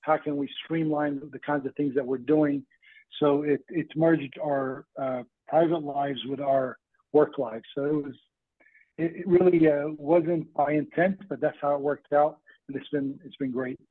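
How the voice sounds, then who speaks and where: A man's voice: on the high side, fairly even intonation, measured pace, no echo. A middle-aged man from Lebanon and West Virginia, a doctor casually explaining his work as an interview guest. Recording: thin phone-like band, dry.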